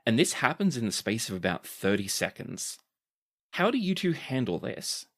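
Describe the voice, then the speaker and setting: A man running a tabletop game as game master, narrating scenes in an unhurried storytelling manner. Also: mid-range voice, very animated, fairly bright tone. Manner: descriptive, unhurried storytelling with dramatic pleading quotes.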